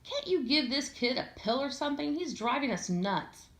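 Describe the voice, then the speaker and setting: A woman's voice: high voice, very animated, moderate pace, fairly bright tone, pitched above the performer's own voice, exaggerated voice. In an echoey room, a woman reader plays a big bearded moving man from a storybook. He is exasperated and complaining, thoroughly fed up.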